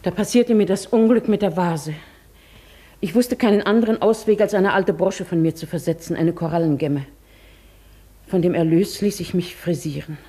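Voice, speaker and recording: An older woman acting a poor, proud and wistful old widow in a German radio drama, speaking formally and with measured care. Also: on the low side, mellow. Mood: wistful confession. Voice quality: husky, creaky.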